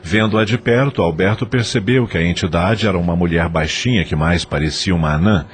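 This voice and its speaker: A man's voice: low-pitched, fairly quick. A man reading scripted narration for a dramatized audio novel.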